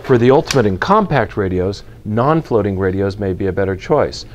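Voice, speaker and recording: Low-voiced man explaining gear in a store. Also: expressive, a little hiss or hum. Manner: clear, measured, practical explaining with hand gestures. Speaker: retail company spokesperson presenting boating gear. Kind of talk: product explainer to camera. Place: large indoor retail store aisle. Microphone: lapel mic.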